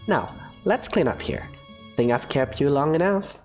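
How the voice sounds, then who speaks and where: A man voicing a calm female academy professor who lectures in a measured, dryly teasing way. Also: a little high, soft background music. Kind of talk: fan visual novel voice acting.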